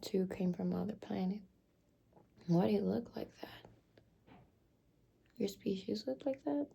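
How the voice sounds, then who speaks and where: A young woman whispers and murmurs her way through an ASMR roleplay, unhurried and slow. Her low voice is husky and gravelly, with a mellow, dark tone. She hesitates often and fills gaps with soft fillers. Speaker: ASMR creator.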